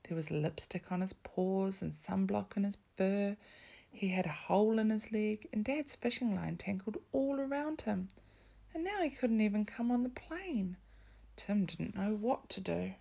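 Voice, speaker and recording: A woman reading a children's storybook aloud as a teacher, with expressive narration. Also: mid-range voice, unhurried, gravelly, highs cut off.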